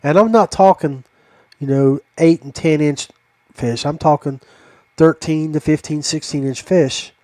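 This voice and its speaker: An older man chats casually as a fishing podcast host. His voice sits a little high for a man, and the sound is dry with very little room. He speaks haltingly and rambles as he goes. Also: mellow, no room sound.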